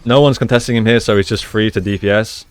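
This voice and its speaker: A man giving gameplay analysis commentary as a coach, explaining in a measured way and repeating phrases for emphasis. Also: lowish voice, steady tone, clear, resonant tone. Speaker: esports coach, video host.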